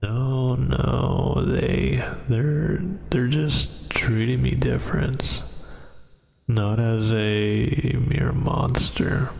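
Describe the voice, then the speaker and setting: A man voicing a brooding, menacing yet hesitant werewolf in an ASMR roleplay, with a gravelly, breathy, half-whispered voice and halting delivery. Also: mid-range voice, fairly even intonation, a little mellow, exaggerated voice. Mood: tormented, bewildered. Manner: slow, halting, brooding, with long pauses. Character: dominant male werewolf, menacing yet hesitant, fighting hungry cravings.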